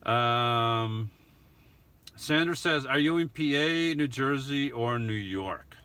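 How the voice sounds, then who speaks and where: Bright-voiced man with an accent. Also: medium pitch, slow pace, clear, resonant tone. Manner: rambling, chuckling, many restarts. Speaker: YouTube channel host answering live chat. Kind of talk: casual live-stream Q&A.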